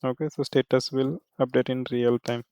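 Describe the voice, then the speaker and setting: A man working as a software trainer, explaining a screen walkthrough step by step in a halting way with repeated check-in tags. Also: medium pitch, level tone, clean tone, dry recording.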